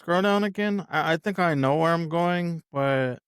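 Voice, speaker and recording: A man's voice: a little high, moderate pace, clear, resonant tone, no echo, studio quality. A man speaking as a podcast guest in casual sports chat, often repeating himself as he talks.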